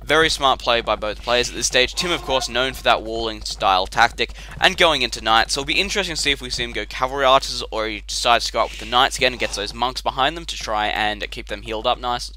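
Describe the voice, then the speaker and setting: A young man giving a chatty, rambling commentary on a game replay, his voice croaky and tired after a late night. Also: medium pitch, fast talker, few pauses, sharp tone, slight background noise. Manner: chatty and rambling, with filler words.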